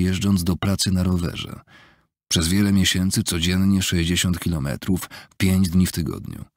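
A man reading an audiobook in a measured, even storytelling manner, recorded on a close studio mic. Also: no echo. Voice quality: low gravelly husky voice. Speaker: audiobook narrator. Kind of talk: scripted audiobook narration. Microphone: close studio mic, dry.

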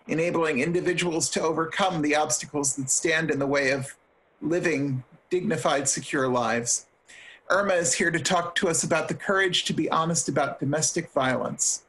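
An older man moderating an online forum from home on a video call, measured and with dry self-deprecating asides. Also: on the high side, a bit bright, a little hiss or hum, low-quality recording. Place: room at home. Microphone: webcam on a video call.

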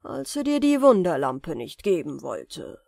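A woman voicing a wicked, vengeful sorcerer in a fairy-tale audiobook, forceful and theatrical in delivery. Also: on the low side, very animated, measured pace, vocal fry, no echo, studio quality, pitched below the performer's own voice, exaggerated voice. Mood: brooding, scheming. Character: wicked vengeful sorcerer, scheming grown man.

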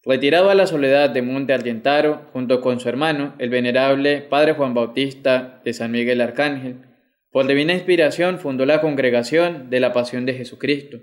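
Young guy reading formally at mass, measured, scripted, small chapel. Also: medium pitch. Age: young adult. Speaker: liturgical reader at Mass. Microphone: microphone on lectern stand.

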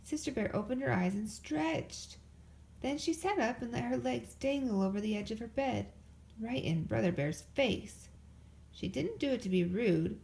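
Female storybook narrator, expressive read-aloud, fairly high voice with gravelly creak. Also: moderate pace, poor audio. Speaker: storybook reader.

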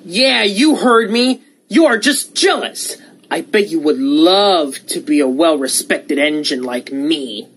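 A woman's voice: low voice, animated, takes it slowly, husky, heavily acted. A woman voicing a spiteful, hot-tempered villain steam engine in a cartoon, sneering and threatening. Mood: hostile.

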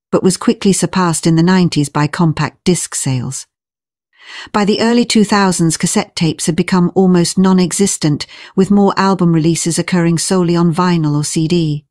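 A woman delivers scripted, informational narration in a slightly low voice. The recording is dry and close, with very little room sound around her words. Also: darkish tone, dry recording.

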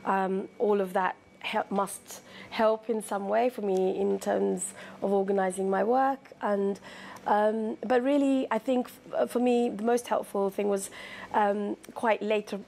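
A middle-aged woman with a London British accent, an author speaking in a measured, composed way as a guest. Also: medium pitch, fairly even intonation, vocal fry, a little mellow, a little hiss or hum. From born in Sri Lanka, grew up in London. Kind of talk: reading aloud from a novel, then interview talk. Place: indoor room, seated.

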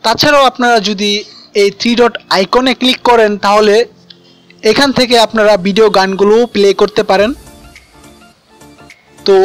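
A man's voice: sits high, a bit bright. A man narrating an instructional app tutorial as its host. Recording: voice-over with music behind.

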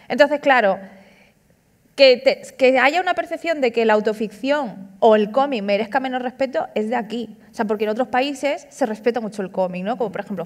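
A woman in her thirties, an author, speaking casually and animatedly at an onstage book talk, tossing in frequent tag questions. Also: fairly high pitch. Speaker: author and comic artist. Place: large auditorium stage. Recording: long hall reverb.

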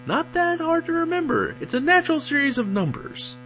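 A man's voice: very high voice, expressive, brisk, bright, forceful tone, faint music behind, full character voice. A man playing an exasperated, sarcastic co-owner of a radio station in a comedic audio drama. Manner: irritable, sarcastic.

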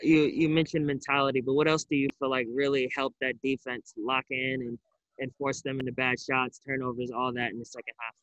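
A man's voice: mid-range voice, level tone, brisk, a bit bright, no echo, dull audio. A young man working as a sports reporter, asking wordy, conversational questions at a press conference. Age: young adult. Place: indoor arena stands.

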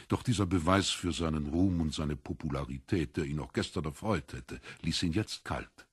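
A man narrating a literary story from a script in a measured storytelling manner. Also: a bass voice, husky, no echo, clean studio sound.

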